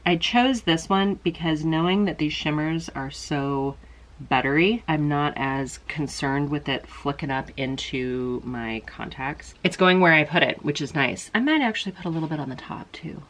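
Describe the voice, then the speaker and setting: A woman's voice: sits low, moderate pace, a bit bright. A middle-aged woman, a little congested, chatting casually and rambling a bit as she walks through a makeup tutorial. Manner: chatty, rambling, self-deprecating. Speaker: beauty vlogger.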